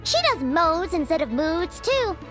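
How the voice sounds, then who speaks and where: Squeaky, lively little fairy sidekick. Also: female voice, measured pace, music in the background, full character voice. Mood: chirpy, candid. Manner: exclamatory, childlike, explains things simply. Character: tiny chirpy childlike female fairy companion, candid and friendly. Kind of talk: scripted video game dialogue.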